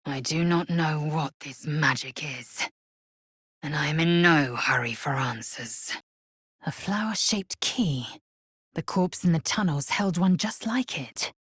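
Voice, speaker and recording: A woman playing a proud, stern githyanki warrior in a fantasy video game, with a husky rasp and clipped, imperious, formal delivery. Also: low-pitched, animated, a little slow, a bit bright, no room sound, studio quality, full character voice. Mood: stern, haughty.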